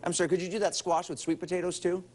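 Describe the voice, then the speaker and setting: A man's voice: high voice, level tone, rapid-fire, a little mellow. A man hosting a morning show, chatting agreeably in a light, conversational broadcast interview style.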